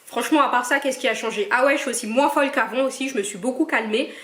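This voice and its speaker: Young woman, from Cameroon, vlogger, chatty, playful, fairly high voice, echoey room. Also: quick pace, a bit bright. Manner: chatty and playful, reads out questions and teases viewers. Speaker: YouTuber answering viewer questions. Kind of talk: casual Q&A vlog.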